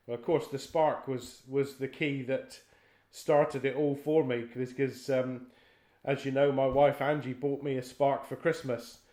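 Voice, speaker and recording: A man's voice: mid-range voice, little pitch movement, measured pace, a little mellow. A middle-aged man interviewing someone in a conversational style. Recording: echoey room sound.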